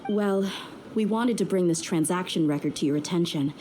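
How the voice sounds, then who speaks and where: A woman's voice: lowish voice, normal speed, mellow, slight background noise, natural voice. An actress playing a tough, candid young female mercenary who speaks in a direct, earnest, polite way, over game music.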